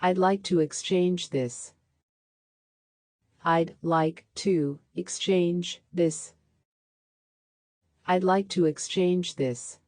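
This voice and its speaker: A woman reading practice sentences aloud for a language lesson, saying each one three times with a slowed repeat. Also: on the low side, steady tone, a little mellow, dry recording. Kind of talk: slow scripted sentence drills.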